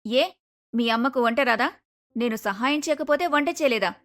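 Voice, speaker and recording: Woman acting a high-voiced, arrogant young daughter-in-law. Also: moderate pace, a bit bright, close to an everyday voice. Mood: dismissive. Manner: curt, dismissive, argumentative. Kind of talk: animated moral story dialogue.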